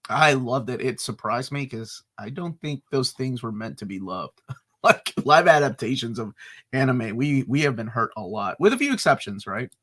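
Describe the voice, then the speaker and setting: A man's voice: medium pitch, animated, moderate pace, fairly bright tone, slightly rough. A man co-hosting a live comics talk stream, chatting casually, joking and rambling. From United States. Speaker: co-host of a comics talk show.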